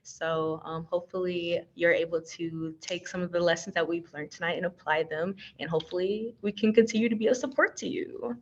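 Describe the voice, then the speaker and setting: An American woman with a slightly low voice leads an online workshop. She talks informally, with a playful, self-deprecating chattiness. The sound is a little dull, as on a video call. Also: steady tone, fairly quick, few pauses. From Texas, US. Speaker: workshop trainer and organization's communications coordinator. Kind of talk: casual online training presentation.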